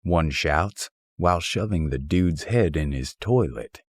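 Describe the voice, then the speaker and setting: A man reading a humorous philosophical essay as scripted audiobook narration, with husky, measured, deliberate phrasing. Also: rumbling low, expressive, no echo, studio quality.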